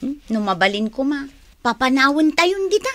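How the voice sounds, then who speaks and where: A woman's voice: high-pitched, very animated, moderate pace, fairly bright tone. An actress with an Ilocano accent playing a whiny, tattling woman in a comedy radio drama. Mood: complaining. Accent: Filipino, Ilocano.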